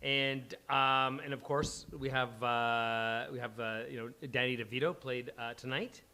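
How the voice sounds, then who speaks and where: Man, live host, forceful bright tone, unscripted, stop-and-start, self-mocking, mild swearing. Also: medium pitch, steady tone, clear, resonant tone. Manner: halting, scattered, self-deprecating, swears casually. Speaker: host of a live script-reading event. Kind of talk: casual unscripted stage introduction. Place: live event venue before a small audience.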